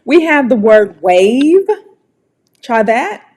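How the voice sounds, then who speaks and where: Slow, friendly American woman teaching. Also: on the high side, very animated, darkish tone. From United States. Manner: friendly, encouraging, slow and deliberate. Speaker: English pronunciation teacher. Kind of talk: casual online pronunciation lesson.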